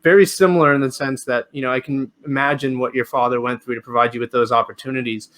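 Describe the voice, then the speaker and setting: A man's voice: mid-range voice, steady tone, fast, a bit bright. Friendly man hosting an interview. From Spain. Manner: friendly, conversational questioning. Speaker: podcast host. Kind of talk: casual interview.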